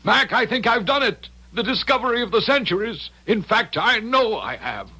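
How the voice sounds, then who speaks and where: Excitable older American professor, bright and husky. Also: male voice, high-pitched, normal speed, heavily acted. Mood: excited, secretive. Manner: excited, emphatic, confiding. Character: elated, secretive professor, father obsessed with time travel. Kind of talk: old-time radio drama acting. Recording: old radio drama recording.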